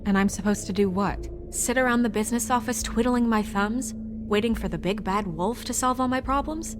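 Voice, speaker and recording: A woman's voice: on the high side, moderate pace, music in the background, natural voice. A woman playing a poised, determined fairytale office administrator who is defiant yet caring, in naturalistic game acting. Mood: indignant.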